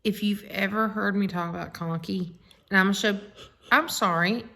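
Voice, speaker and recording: A woman's voice: medium pitch, steady tone, moderate pace, gravelly, sharp tone. A woman chatting casually as a co-host. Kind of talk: casual chat.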